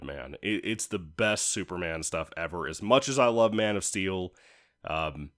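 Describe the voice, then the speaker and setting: A man in his thirties with a fairly low voice talks through a review on his own. He is informal and enthusiastic, rambling like someone chatting to friends and slipping in the odd mild swear. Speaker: video reviewer. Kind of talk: casual rambling solo review with personal stories.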